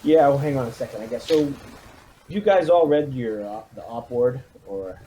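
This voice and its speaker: A man's voice: medium pitch, lively intonation, moderate pace, mellow, a little hiss or hum, low-quality recording. A man leading a squad in a tabletop roleplaying game, briefing it with military jargon and command phrasing over an online call.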